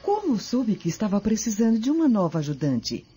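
A woman playing a kind, generous Roman noblewoman in a radio drama, with a soft husky voice. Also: medium pitch, big pitch swings, fairly quick, soft background music, close to an everyday voice. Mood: gentle.

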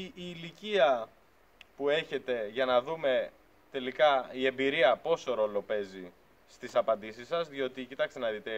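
A man's voice: medium pitch, dull audio. A man in his thirties, a beekeeping channel host, talking earnestly and argumentatively to the camera outdoors beside a truck. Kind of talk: casual talk to camera. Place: outdoors by a pickup truck at a farm shed.

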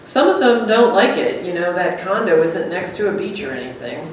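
A woman's voice: fairly low pitch, sing-song, moderate pace. A woman, middle-aged, reading the part of a plain-spoken, chatty companion in a staged script reading. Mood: fussing, attentive. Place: small hard-walled gallery room, indoors. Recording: very echoey room, distant, faint noise.

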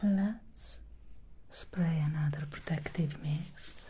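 A woman voicing an alluring, scheming female demon hairstylist in an ASMR roleplay, speaking in a slow seductive whisper. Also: sits low, warm tone, slight background noise. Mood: sly whisper.

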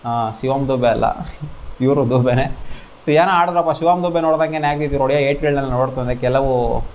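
A man's voice: mid-range voice, brisk, darkish tone, a little hiss or hum. A man in his twenties talking to camera in casual, colloquial, exclamatory cricket commentary, recorded on a lapel mic in a small home studio. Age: 20s. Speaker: host of a cricket review channel. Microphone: clip-on lapel mic.

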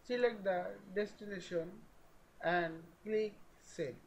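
A man narrating a step-by-step software tutorial in short, halting phrases. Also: sits high.

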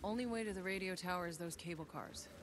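An actor playing a weary, wry mercenary hero in a video game, speaking laconically. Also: male voice, sits high, fast talker, natural voice. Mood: wry, resigned. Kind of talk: video game cutscene acting. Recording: clear ambient noise behind voice.

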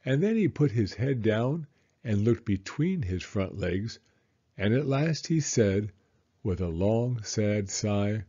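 A man reading a children's story aloud in an expressive, deliberate storytelling manner. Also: mid-range voice, muffled recording. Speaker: storybook reader.

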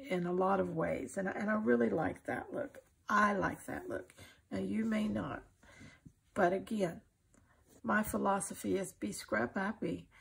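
An older woman with a husky, gravelly voice, giving an informal, folksy and encouraging craft demonstration. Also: mid-range voice. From United States. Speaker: craft tutorial host. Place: indoors at a craft table.

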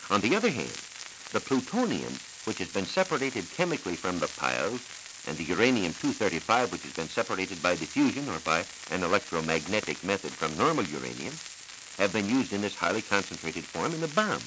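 An American man with a fairly low voice gives a formal, scripted science explanation in the manner of 1940s educational radio. His pitch moves animatedly as he teaches. The old transcription disc hisses and crackles clearly throughout. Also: big pitch swings. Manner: measured, didactic, formal. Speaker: science reporter on a radio programme. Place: radio studio.

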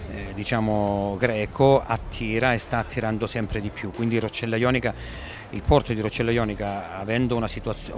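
Middle-aged man, halting interview answers. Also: on the low side, slight background noise. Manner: hesitant, many fillers and restarts. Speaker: port director. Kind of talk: trade fair interview. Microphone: handheld mic.

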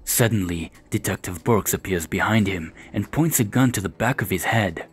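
Deep-voiced male audio drama narrator. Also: moderate pace, soft background music. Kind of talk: scripted scene narration.

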